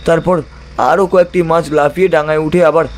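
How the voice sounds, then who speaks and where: A man's voice: a little high, fairly even intonation, fairly quick, a little hiss or hum, lightly acted. A man playing an anxious young village son in an audio story. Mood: amazed.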